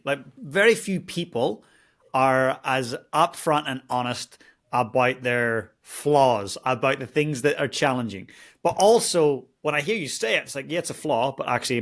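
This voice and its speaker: A man's voice: mid-range voice, animated, normal speed, a bit bright. A man from Northern Ireland with a Northern Irish accent, hosting an interview and affirming his guest earnestly. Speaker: podcast host. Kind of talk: warm interview conversation.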